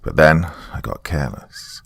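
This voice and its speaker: A man's voice: very deep voice, animated, normal speed, creaky, breathy edge, no room sound. A man reading a scripted first-person story as a dramatic narrator. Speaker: narrator of horror stories.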